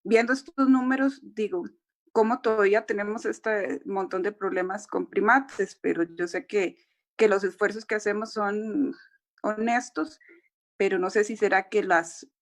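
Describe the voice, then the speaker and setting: Woman, audience member, mid pitch, winding and filler-heavy questioning on a video call. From Costa Rica. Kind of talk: formal question at a symposium.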